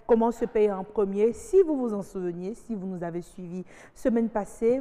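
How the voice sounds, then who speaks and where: A woman with a Beninese French accent hosting a TV talk show, moving things along briskly with short affirmations. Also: a little high, dark tone, big-room sound.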